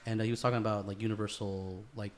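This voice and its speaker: A man's voice: on the low side, little pitch movement, very fast, slight background noise. A man hosting a podcast as casual chat, slangy and loose, swearing freely. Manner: slangy, calls listener bro and dude, swears freely. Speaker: podcast host.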